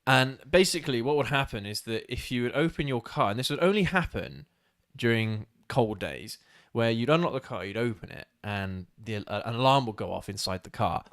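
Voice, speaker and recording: A man's voice: medium pitch, animated, brisk, fairly bright tone, no echo, clean studio sound. A man co-hosting a casual live-stream chat, often restarting his sentences and laughing. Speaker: co-host of a motorcycling channel.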